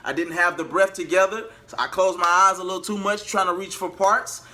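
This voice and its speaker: A man's voice: high-pitched, fairly even intonation, a little fast, sharp tone. A man telling a personal story to his viewers in a casual, emphatic way, repeating phrases for emphasis. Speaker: vlogger and R&B singer.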